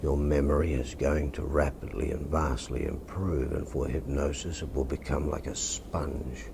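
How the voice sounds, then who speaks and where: A softly spoken Australian man with a deep, gravelly voice, speaking as a hypnotist in a measured, soothing, suggestive manner. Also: steady tone, noisy background.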